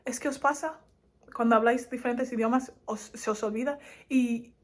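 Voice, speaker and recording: A young woman with a Madrid Spanish accent talks casually to her camera, animated and laughing between thoughts. Her voice sits a touch high, and her delivery stays loose and chatty. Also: moderate pace. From Spain. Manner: animated and laughing, lively asides. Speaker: vlogger.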